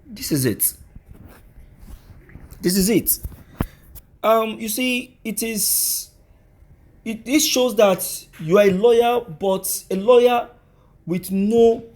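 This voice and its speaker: A man with a Nigerian accent and a fairly high voice delivers opinionated news commentary. He speaks straight to his listeners in an emphatic, rhetorical way. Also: slow pace, pauses often, a little mellow.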